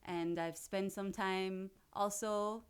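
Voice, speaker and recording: A woman from Jamaica, a radio presenter, chatting as a guest in a studio interview, playful and quick to laugh. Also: mid-range voice, steady tone, clean tone.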